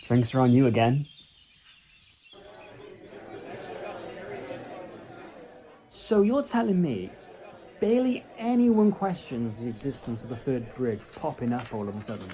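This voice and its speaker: Mellow, curious male companion played by a man. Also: medium pitch, big pitch swings, normal speed, a faint crowd, close to an everyday voice. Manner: questioning, earnest. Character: curious, earnest human companion of a time traveller. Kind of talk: fan audio drama acting.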